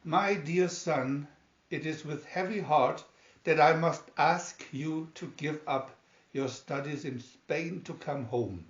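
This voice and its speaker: A man reading as a troubled, grave and pleading father in a storybook letter, solemn and drawn-out. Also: a little high. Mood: heavy-hearted. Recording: roomy, dull home recording.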